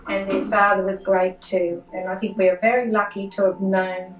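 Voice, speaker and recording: A woman's voice: medium pitch, little pitch movement, moderate pace. A woman, a sister-in-law, speaking wryly and appreciatively in a casual family interview. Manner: wry, appreciative. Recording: echoey room heard from far off.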